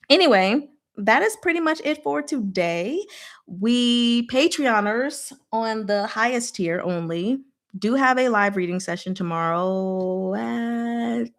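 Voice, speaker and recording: A woman hosting a book discussion livestream, chatting casually with viewers in an animated, informal, playfully exasperated way. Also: medium pitch. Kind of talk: casual live chat with viewers.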